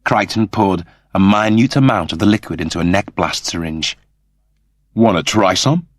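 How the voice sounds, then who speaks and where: A man's voice: deep voice, normal speed, no echo, studio quality. A man giving a scripted narration of comic science fiction as an audiobook narrator.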